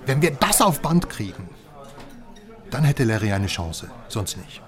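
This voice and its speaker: An actor in a radio crime drama playing a shrewd go-between who talks in a casual, coaxing and wry way. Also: male voice, mid-range voice, very animated, a little slow, a crowd in the background. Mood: coaxing, mocking. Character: shrewd, persuasive male go-between for an American businessman.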